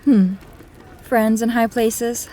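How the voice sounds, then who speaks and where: Woman acting young fantasy heroine, high lilting voice, unhurried, wry and unsure, questioning, audio drama. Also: steady tone, mellow, slight background noise. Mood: wary.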